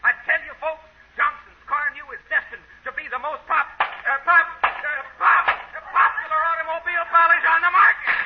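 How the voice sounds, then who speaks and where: An actor playing an eager, earnest American announcer giving an emphatic, polished 1930s radio sales pitch. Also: male voice, fairly even intonation, moderate pace, hardly any pauses. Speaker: announcer delivering the sponsor's pitch. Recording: muffled, noisy 1930s radio broadcast.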